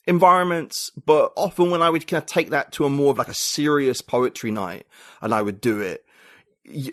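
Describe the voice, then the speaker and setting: A man's voice: mid-range voice, no echo, clean studio sound. A male writer and poet from the UK, a guest in a casual podcast interview, thinking aloud with many restarts and fillers.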